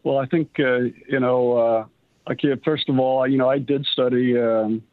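A man's voice: mid-range voice, fairly even intonation, moderate pace, dry recording. An American man in his sixties, a retired general, giving earnest, hedging conversational answers as an interview guest. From United States, raised on military bases. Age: 60s. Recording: slightly muffled, lo-fi radio line.

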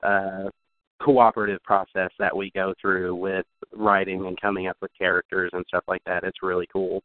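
American male podcast guest, lowish voice, casual conversational delivery, dry thin phone-like audio. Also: flat delivery, normal speed, dry recording. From Kentucky, United States. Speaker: podcast guest, comic creator. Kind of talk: casual chat.

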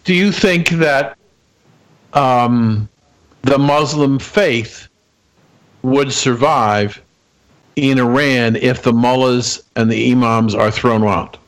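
Guy hosting an interview, average pitch, keeps restarting his questions. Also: slow, pauses often, low-quality recording. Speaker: interview host.

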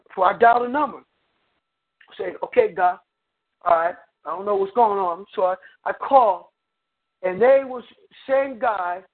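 A man, the guest preacher, telling a story in his sermon and breaking it up with "come on, amen" as he goes. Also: extremely high pitch. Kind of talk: preaching by phone conference. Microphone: phone. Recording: tinny phone line.

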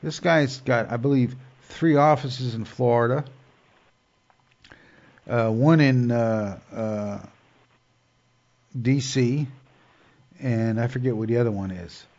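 A man's voice: medium pitch, takes it slowly, long pauses, darkish tone. A man with a cold and a stuffed-up nose, hosting a show in a rambling casual monologue full of fillers and restarts. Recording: dull lo-fi sound.

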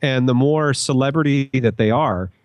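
Male podcast guest, conversational and analytical, average pitch, no room sound. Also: moderate pace, dry recording, highs cut off. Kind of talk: casual analytical podcast chat.

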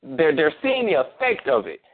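A man with a fairly high voice talks in loose, rambling runs, circling back and restarting his thoughts as he goes. The sound is thin and narrow, like a phone call. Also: wildly swooping pitch, moderate pace. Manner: rambling, many restarts and "you know". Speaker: host. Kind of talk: casual talk on a phone line. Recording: tinny phone line.

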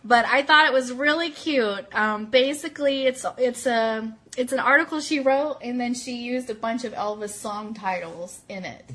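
A woman hosting a casual live fan show, chatting and reading aloud in an excitable, informal, giggly way. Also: on the high side, normal speed, few pauses. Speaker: host of an Elvis fan radio show.

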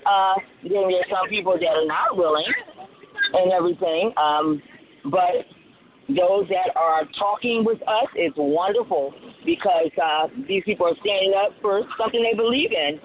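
A man's voice: high-pitched, normal speed, a little hiss or hum. A man from Colombia reporting live from a city street, speaking in a casual, rambling way with many fillers. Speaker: livestream street interviewer. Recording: tinny phone-like livestream audio.

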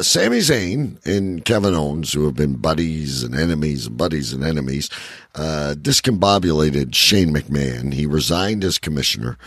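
Really deep husky guy on a podcast, swears a lot, opinionated, voice swings up and down. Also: no echo. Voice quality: gravelly, husky. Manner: blunt, profane, opinionated. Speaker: podcast co-host. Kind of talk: casual banter about wrestling and movies.

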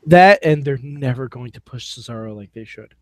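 A man's voice: medium pitch, animated, normal speed, dry recording. A man co-hosting a podcast in casual, blunt, wisecracking chat peppered with swearing. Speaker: podcast co-host.

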